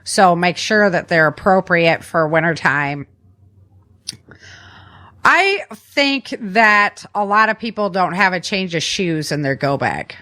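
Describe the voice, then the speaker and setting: A woman's voice: on the low side, lively intonation, takes it slowly, pushed and bright. A woman hosting a podcast, chatting casually about survival tips in an informal, plain-spoken way. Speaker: podcast host.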